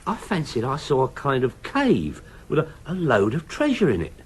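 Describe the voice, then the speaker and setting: Man doing plucky middle-aged dad, radio comedy, excitable and stubborn, pitch swoops all over. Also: moderate pace, slight background noise. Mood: protesting, dazed. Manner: protesting, dismissive of fuss. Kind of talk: radio comedy drama acting.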